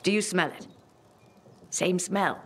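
A woman playing an older herbalist healer in a video game, calm, stern and measured. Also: fairly low pitch, slight background noise. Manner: measured, instructive. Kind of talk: video game dramatic acting.

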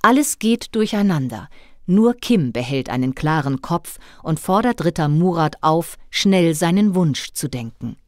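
A woman tells a children's story in a lowish voice with animated, expressive swings. Her delivery is scripted and dramatic, and the recording is close and dry. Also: measured pace, no room sound. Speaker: narrator. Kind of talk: scripted storytelling narration for a children's audio play.

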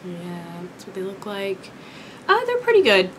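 A young woman from Kentucky chatting casually while eating, her voice raspy from a sore throat, rambling with many ums. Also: medium pitch, huge pitch swings, a little slow, slight background noise, rough recording. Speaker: vlogger. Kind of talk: casual mukbang chat. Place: small indoor room with dark curtain backdrop.

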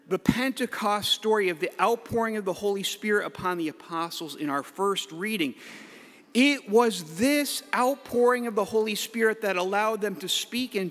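A middle-aged man, a priest, giving a playful homily from the pulpit with the odd hype-man shout. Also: high-pitched. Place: large church. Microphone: pulpit mic. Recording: echoey church hall.

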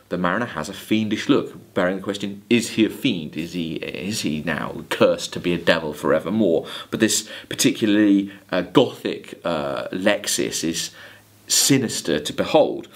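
A man in his thirties lecturing on literature, explaining in hesitant phrases with emphatic stresses. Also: lowish voice. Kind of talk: video lecture with poem readings and commentary.

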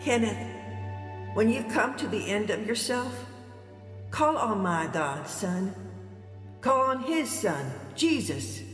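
Radio drama grandmother: elderly, devout, grave warnings, unhurried, echoing like a memory. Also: mid-range voice, very animated. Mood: earnest pleading. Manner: solemn, preachy, measured. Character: pious old grandmother, solemn and warning her grandson. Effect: dreamlike reverb of a remembered voice. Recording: echoey long reverb with music.

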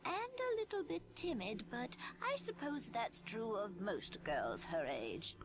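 A blind old granny from a video game, calm and wistful. She speaks slowly and softly, with kindly, measured phrasing. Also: on the high side, low-quality recording. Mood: gentle, wistful.

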